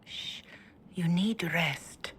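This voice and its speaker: An actress playing a calm, grave priestess who speaks softly and in a measured way, often half-whispered. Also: female voice, fairly low pitch. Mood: solemn. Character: calm elderly woman cleric, gentle and grave.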